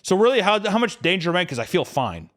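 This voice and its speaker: A tipsy young man spins a long, ridiculous story for his podcast co-host. He curses freely and mocks himself, and his voice swoops up and down with animated energy. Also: sits high, fast. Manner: animated, profane, self-mocking storytelling. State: drunk.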